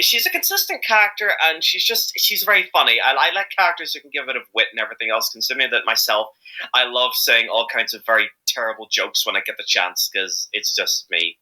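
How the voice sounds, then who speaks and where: A man speaking as an amateur voice actor being interviewed, chatting casually in a jokey, halting, rambling way. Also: mid-range voice, pushed and bright, low-quality recording.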